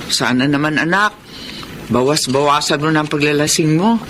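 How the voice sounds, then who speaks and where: An actress playing a worried, gently scolding mother of a grown son in a Filipino radio drama, fretful and nagging. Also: deep voice, normal speed. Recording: faint background noise and scene ambience.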